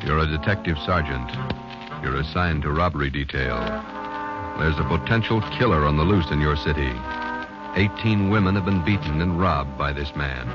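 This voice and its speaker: A grave, deliberate 1940s radio announcement in an extremely deep voice. The broadcast sound is dull and lo-fi, with loud dramatic music underneath. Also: male voice. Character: solemn male radio show announcer.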